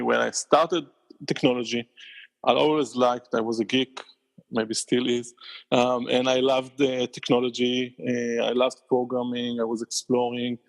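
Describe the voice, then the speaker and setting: A man with an Israeli accent, a company founder, answering questions in a podcast interview with thoughtful, halting replies and frequent laughs. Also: mid-range voice, creaky, poor audio. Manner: thoughtful, many restarts, laughs often.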